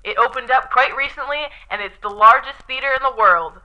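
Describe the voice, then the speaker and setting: A woman's voice: high voice, brisk, pushed and bright. A woman acting in a scripted 1920s radio show as a chipper radio personality. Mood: upbeat. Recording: dull lo-fi recording.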